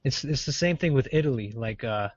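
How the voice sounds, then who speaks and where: A man's voice: mid-range voice, expressive, fairly quick, slightly rough, no echo. A man joking and teasing his friends in a casual podcast chat over an online call. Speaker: podcast co-host. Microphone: computer mic on an online group call. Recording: dry, dull lo-fi call audio.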